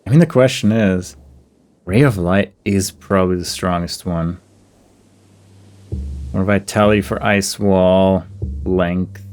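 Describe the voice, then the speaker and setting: A man's voice: sits low, takes it slowly, long pauses, darkish tone. A man doing casual gameplay commentary, thinking aloud in a hesitant, musing way that trails off mid-thought. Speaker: video game player and commentator.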